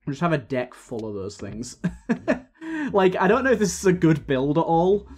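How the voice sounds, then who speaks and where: A man with a slightly raspy voice from a coming cold, chatting casually as he plays and comments on a video game, thinking aloud with plenty of fillers. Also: on the high side, big pitch swings, normal speed, a little mellow. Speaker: gaming video host. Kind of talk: casual let's-play commentary. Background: faint game music.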